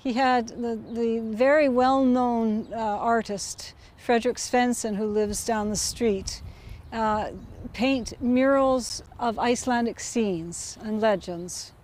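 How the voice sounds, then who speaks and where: A woman explaining local history in a measured, informative way, with pauses, outdoors on a street. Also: on the high side, steady tone, a little mellow. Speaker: interviewee. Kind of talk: casual tour-guide explanation.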